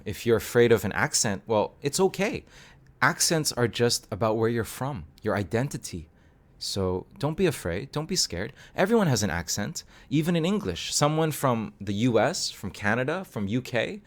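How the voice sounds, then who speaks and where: A young man from Hong Kong, an English teacher, talking unscripted to camera in a casual, encouraging way, often correcting himself. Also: lowish voice.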